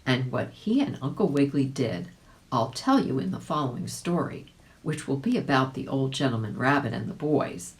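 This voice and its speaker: A woman's voice: rumbling low, expressive, normal speed, rough recording. A woman reading aloud as a volunteer, narrating a children's storybook expressively.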